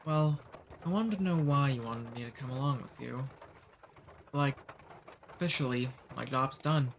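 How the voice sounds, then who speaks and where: A man voicing a friendly, centuries-old male demon in an ASMR roleplay. He talks calmly and teases gently. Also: medium pitch, measured pace, slight background noise, natural voice. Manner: calm, friendly, gently teasing.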